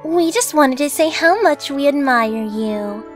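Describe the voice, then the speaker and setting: A woman's voice: sits high, animated, unhurried, soft background music. A woman voicing a catty, falsely sweet lady pony with a gushing, condescending manner in a cartoon dub. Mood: saccharine.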